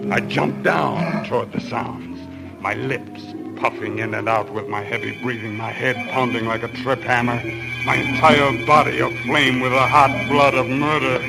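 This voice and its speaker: Crazed, gravel-edged man, slow and intense. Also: on the high side, low-quality recording. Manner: intense, melodramatic, breathy narration building to frenzy. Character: crazed man possessed by murderous hatred of an ape. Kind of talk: 1940s radio thriller acting. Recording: old radio recording under loud music.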